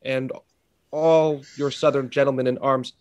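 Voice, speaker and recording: A man playing a nobleman forced to deliver bad news, measured and reluctant. The verse comes out slowly, in a mid-range voice, over a video call. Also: medium pitch, flat delivery, darkish tone, close to an everyday voice. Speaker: actor in a staged reading. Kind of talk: Shakespeare verse reading.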